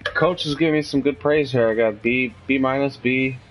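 A man's voice: mid-range voice, normal speed. An easygoing man with a mid-range voice chats casually while gaming. His talk is loose, informal banter, and faint music plays behind him. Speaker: gaming show co-host playing. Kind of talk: casual gameplay chat.

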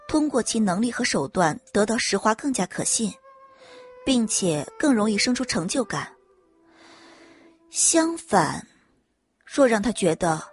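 A woman reading scripted dramatic audiobook narration in an expressive storytelling manner. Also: mid-range voice.

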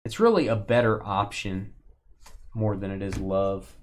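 A man's voice: lowish voice, a little slow. Chatty Texan man hosting a card break. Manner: chatty, joking, talks to chat viewers. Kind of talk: live-stream card break commentary.

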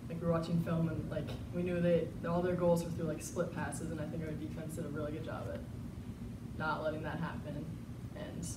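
A woman's voice: low voice, level tone, a little fast. A teenage girl, a soccer player, talking casually with lots of "like". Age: teens. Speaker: high school soccer midfielder. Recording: distant, echoey, noisy.

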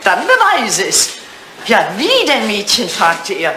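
A woman's voice: mid-range voice, takes it slowly. An actress playing an elegant, teasing older English lady in a retro radio comedy, telling things frankly and theatrically. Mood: teasing, animated. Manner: animated, theatrical, teasing storytelling. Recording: roomy, noisy vintage radio play recording.

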